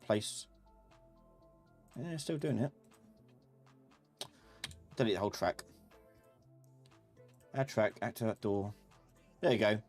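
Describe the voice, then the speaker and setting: A man giving a live software tutorial as an instructor, informally thinking aloud and talking to himself as he works. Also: fairly low pitch, animated, takes it slowly, long pauses, faint music behind. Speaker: instructor of a live online class. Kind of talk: live software tutorial, explaining while working.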